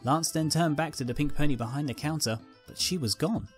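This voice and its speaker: A man's voice: on the high side, a little fast, faint music behind. A man narrating a scripted fan fiction story.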